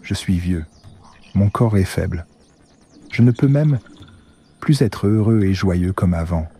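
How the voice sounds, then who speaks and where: A man's voice: deep voice, measured pace, mellow, husky, full character voice. A man voicing a weak, sorrowful old man in a cartoon, lamenting plaintively. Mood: sorrowful, bitter. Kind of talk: animated film dialogue.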